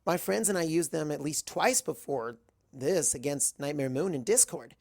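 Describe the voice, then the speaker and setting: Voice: mid-range voice, lively intonation, moderate pace, creaky, darkish tone. A narrator voicing an earnest, studious young female unicorn pony who speaks insistently in a storybook reading. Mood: matter-of-fact.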